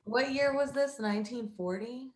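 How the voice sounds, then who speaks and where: A woman's voice: fairly high pitch, moderate pace. A woman hosting a podcast, chatting casually in informal, slangy banter. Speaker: podcast host. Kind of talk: casual chat. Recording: roomy echo.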